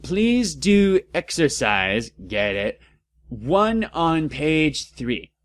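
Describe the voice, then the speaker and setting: A man's voice: on the high side, big pitch swings, very slow, no room sound, pitched above the performer's own voice, heavily acted. A man voicing a brash, foul-mouthed female professor at a witch school, blunt and declamatory, in a comic story reading. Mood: bossy, loud.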